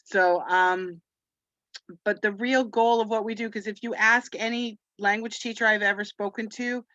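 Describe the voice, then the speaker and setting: A woman's voice: mid-range voice, fairly bright tone, no room sound. Chatty Canadian woman on a muffled call. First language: French. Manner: chatty, rambling, many "you know" fillers. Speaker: webinar host. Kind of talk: casual webinar talk to teachers.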